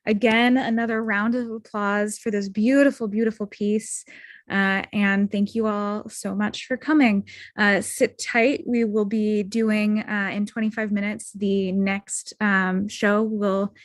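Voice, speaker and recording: Female event host for informal live announcements. Polite, appreciative delivery, medium pitch, very little room sound. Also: little pitch movement, unhurried, no room sound, studio quality.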